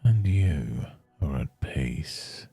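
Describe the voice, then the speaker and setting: A man guiding a scripted meditation in a soothing, measured manner with long pauses between phrases. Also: extremely low pitch, animated, vocal fry, mellow, husky. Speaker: meditation guide. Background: faint music.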